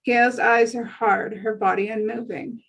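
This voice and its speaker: Slow, fairly high-voiced woman narrator. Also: steady tone, creaky, low-quality recording. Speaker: stage directions reader. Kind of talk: scripted narration.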